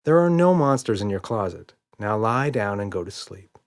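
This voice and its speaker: A man playing a calm, confident storybook father, reassuring and playfully boastful. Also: on the low side, moderate pace. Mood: patient.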